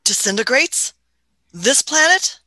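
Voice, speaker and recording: A sharp, bright-voiced doctor of old-fashioned courtesy, acted by a woman for a radio play, recorded close and dry. The delivery is canny and doddering, with hesitations and polite skepticism. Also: high voice, sing-song, takes it slowly, no echo, full character voice. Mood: skeptical.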